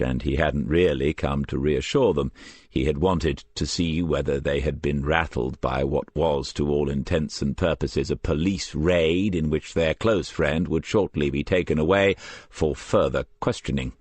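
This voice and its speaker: A man narrating a crime novel as an audiobook, in a measured and composed storytelling manner. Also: extremely low pitch, no room sound. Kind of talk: scripted crime fiction narration.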